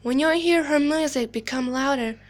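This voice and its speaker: A woman voicing an eerie animatronic girl clown from a video game, soft-spoken, falsely sweet and sinister, speaking in a slow, deliberate, hushed storytelling manner. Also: sits high, faint music behind, natural voice. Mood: urgent warning. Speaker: amateur voice actor. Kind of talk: video game character monologue.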